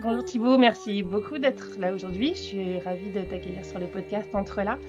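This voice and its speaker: A woman hosting a podcast interview, welcoming her guest and laying out the topics in a clearly structured way. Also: mid-range voice, fairly even intonation, moderate pace, highs cut off, over music. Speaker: podcast host.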